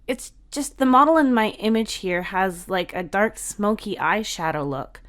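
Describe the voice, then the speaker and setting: A woman's voice: mid-range voice, expressive, normal speed, fairly bright tone, close to an everyday voice. A woman voicing a girlish, mischievous big sister in a storybook, teasing and encouraging with a casual manner. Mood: pondering.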